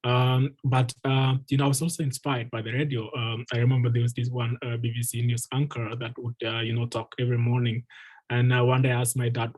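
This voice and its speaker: A man's voice: medium pitch, little pitch movement, fairly quick, a little mellow, low-quality recording. A man in his twenties from Ethiopia, a guest speaker and refugee advocate, telling his life story earnestly on a video call with many ums and uhs. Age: 20s. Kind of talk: personal story told at an online meeting.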